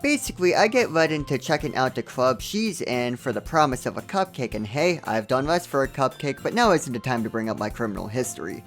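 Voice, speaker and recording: Male game reviewer, very lively pitch, sarcastic comedic narration over background music. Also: fairly quick, few pauses. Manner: sarcastic, joking asides.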